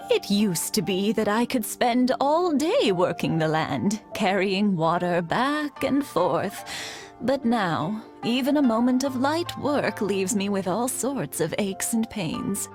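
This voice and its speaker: A kindly old village grandmother who talks in an unhurried, lilting way, her voice rising and falling with motherly warmth. She sounds frail and worn by age. Soft game music plays underneath. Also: medium pitch. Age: older. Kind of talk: video game voice acting.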